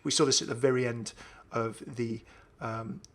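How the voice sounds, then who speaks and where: A man's voice: medium pitch, fairly even intonation, moderate pace. A young man giving casual, enthusiastic commentary on a video game. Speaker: gaming video host.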